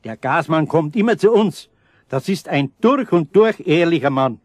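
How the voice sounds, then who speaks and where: An actor playing a good-natured, grumbling older craftsman with a Bavarian accent and a husky voice in a children's radio play. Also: fairly high pitch, expressive, normal speed, dry recording, studio quality. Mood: mild scolding.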